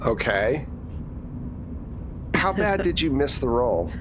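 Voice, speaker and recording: Slow-paced man running a game, noisy background. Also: mid-range voice, very animated. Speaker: game master, podcast host. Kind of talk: improvised tabletop game narration and casual chat.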